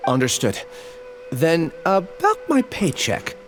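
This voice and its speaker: An actor playing a troubled, apologetic young research worker in a video game, earnest and dramatic. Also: male voice, a little high, wildly swooping pitch, slow, soft background music, heavily acted. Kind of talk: English video game voice acting.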